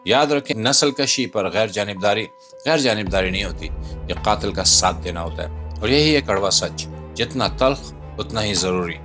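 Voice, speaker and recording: Low-voiced man narrating over music. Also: expressive, moderate pace. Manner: dramatic, rhetorical, emphatic delivery with pointed questions. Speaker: video commentator and narrator. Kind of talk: scripted political commentary voice-over. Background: music bed.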